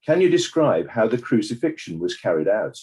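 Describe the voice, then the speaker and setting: A man acting as a formal counsel who puts measured, probing questions to a witness in a dramatized inquest. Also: fairly low pitch, big pitch swings, darkish tone. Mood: formal, inquiring.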